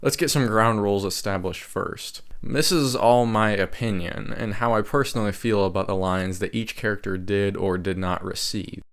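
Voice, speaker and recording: A man's voice: on the low side, fairly even intonation, normal speed, creaky, hardly any pauses. A man giving scripted, opinionated ranking commentary in a wry way, as a video creator. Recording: music behind the voice. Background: game music.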